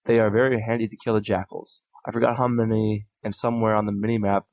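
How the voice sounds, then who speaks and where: A man hosting a podcast, reading listener submissions aloud in a steady, plain way with small restarts. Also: fairly low pitch, fast, no echo. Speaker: podcast host. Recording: dull lo-fi audio.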